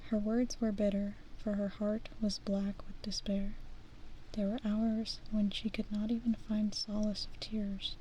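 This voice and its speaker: A woman whispers softly into a close mic, reading slowly in a flat, even tone. Her voice stays hushed, with gentle self-deprecating asides between passages. A faint hiss sits under the recording. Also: mid-range voice, rough recording. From American Midwest. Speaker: ASMR streamer.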